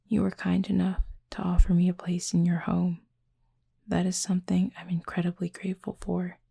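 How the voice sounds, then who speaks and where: A woman voicing a gentle, formal cupid roommate in an intimate roleplay audio, soft, breathy and husky, speaking in a measured, earnest way. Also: lowish voice, dry recording, clean studio sound, full character voice. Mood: grateful, attentive.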